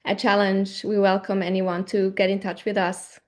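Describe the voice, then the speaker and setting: A woman's voice: mid-range voice, little pitch movement, moderate pace. A woman from Switzerland talking casually as the host of a conversation.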